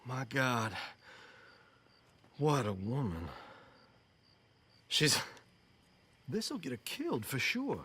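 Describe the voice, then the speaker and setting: An actor playing a nervous, refined young gentleman in love, talking in an anxious, pleading way. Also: mid-range voice, huge pitch swings, slow pace, long pauses, slight background noise, heavily acted. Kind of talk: video game cutscene acting.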